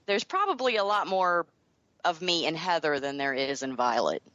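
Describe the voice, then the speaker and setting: A woman's voice: low voice, animated, moderate pace, fairly bright tone, no echo. A woman with a Southern American accent chatting casually as an interview guest about creative work. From Southern United States. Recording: dull lo-fi audio.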